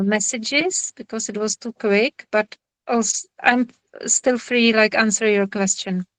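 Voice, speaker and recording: Slow-talking woman with a Czech accent. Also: a little high, a bit bright, no room sound, poor audio. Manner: halting, searching for words, friendly. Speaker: somatic therapist giving a lecture. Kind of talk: informal online lecture and Q&A. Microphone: video call.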